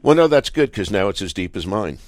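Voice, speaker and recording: A man's voice: lowish voice, very animated, fairly quick, dry recording. A man hosting a sports radio talk show, asking rambling questions in a conversational, jokey way. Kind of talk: casual sports radio interview.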